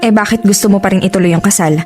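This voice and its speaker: A woman's voice: medium pitch, rapid-fire, darkish tone, soft background music, lightly acted. A woman playing a blunt, protective best friend in a relationship drama, frank and conversational with a Filipino accent. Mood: concerned.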